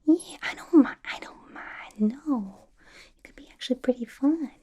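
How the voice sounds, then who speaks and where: A woman voicing a playful, unhinged young villain inmate in a chummy, slangy ASMR roleplay, mostly in a breathy whisper. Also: sits high, normal speed, mellow. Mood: playful, chatty.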